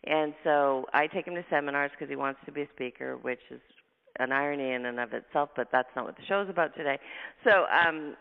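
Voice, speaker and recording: A woman hosting a radio show, talking fast and effusively in a husky voice, tired from travel. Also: low-pitched. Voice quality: husky, gravelly voice. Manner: fast, effusive talker, laughs often. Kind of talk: casual radio interview chat. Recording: tinny phone line with long reverb.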